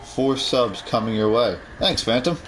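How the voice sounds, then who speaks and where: A man's voice: mid-range voice, measured pace, fairly bright tone, a little hiss or hum, rough recording. A man chatting casually with friends during an online game, dry and laid-back. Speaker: gaming friend. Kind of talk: casual gaming chat.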